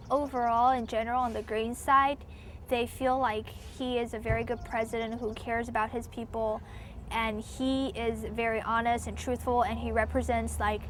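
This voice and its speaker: Young woman, chatty and a bit high. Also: slight background noise. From Taiwan. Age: young adult. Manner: chatty, many "like" fillers, hedging. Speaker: interviewee. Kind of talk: casual conversational interview.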